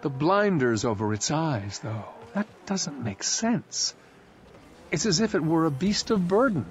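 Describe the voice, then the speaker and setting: A man's voice: a little high, big pitch swings, unhurried, muffled recording, a little hiss or hum, pitched above the performer's own voice. A man reading aloud voicing a young woman soldier who argues her point insistently. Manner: insistent, argumentative.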